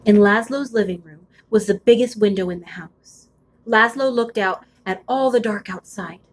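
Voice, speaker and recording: A woman's voice: mid-range voice, moderate pace. Woman reading a spooky picture book aloud. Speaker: storybook reader. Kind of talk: expressive children's picture book read-aloud.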